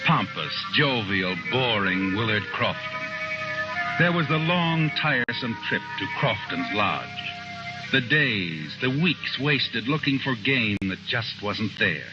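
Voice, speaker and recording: A somber radio drama narrator, dramatic and intense. His pitch swings widely. Heard on a dull old recording with loud music. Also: expressive, slow.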